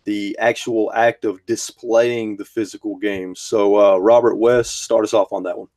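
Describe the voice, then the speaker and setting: A man's voice: on the low side, little pitch movement, measured pace, darkish tone. Earnest thirty-year-old man hosting. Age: 30s. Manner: earnest, emphatic. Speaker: host. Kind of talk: casual discussion. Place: game room full of collectibles. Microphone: headset with desk mic.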